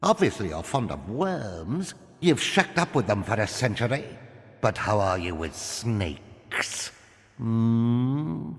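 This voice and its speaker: An actor playing a theatrical, gloating villain sorcerer in a video game, with a pompous, sneering and mocking delivery. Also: male voice, mid-range voice, big pitch swings, takes it slowly, big-room sound. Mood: sly, taunting. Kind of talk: video game cutscene acting.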